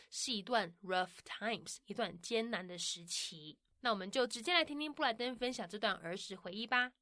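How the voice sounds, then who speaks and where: A woman narrates a language-learning podcast, explaining things in a friendly way and ending sentences with soft particles. Her voice sits a little high. The recording is dry and close. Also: no echo. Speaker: podcast host explaining in Mandarin. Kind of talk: scripted bilingual podcast narration.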